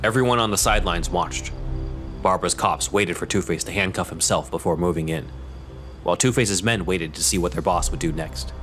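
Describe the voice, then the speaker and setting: A man reading scripted narration for a dark superhero audio drama. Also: sits low, fairly quick, faint music behind. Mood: narrating.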